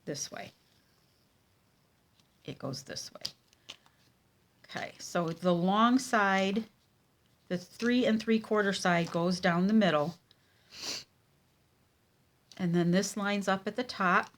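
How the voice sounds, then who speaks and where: A woman with a cold and a nasal, congested voice, teaching a casual card-making tutorial at her craft table, with many ums and pauses. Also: medium pitch, slight background noise.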